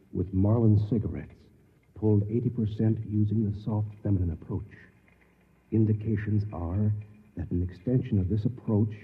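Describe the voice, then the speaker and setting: Husky, urgent man in a radio thriller. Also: lowish voice. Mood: tense discovery. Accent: American. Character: determined, alarmed ordinary man uncovering a conspiracy. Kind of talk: mid-century American radio drama acting. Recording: muffled, reverberant old radio recording.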